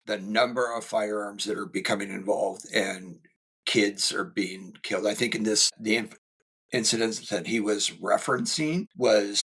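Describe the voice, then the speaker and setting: A middle-aged man co-hosts a podcast and rambles through stories with dry, teasing humor. His voice is husky, bright and forceful, and sits a little low. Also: fairly even intonation, moderate pace. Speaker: school safety specialist, co-host. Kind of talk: casual podcast chat.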